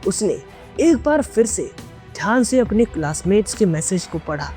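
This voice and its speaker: A man's voice: sits high, big pitch swings, moderate pace, warm tone, soft background music. A man narrating a scripted dramatic audio story as an audiobook narrator.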